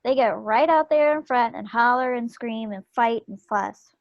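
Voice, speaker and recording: A plucky, loving young wartime wife played by a woman reading a stage play. Her voice sits fairly high, and she chats tenderly and easily. The video call sound is dry and a little dull. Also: moderate pace, no room sound, close to an everyday voice. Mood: chatty. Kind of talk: dramatic table read of a stage play. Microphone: computer mic on a video call.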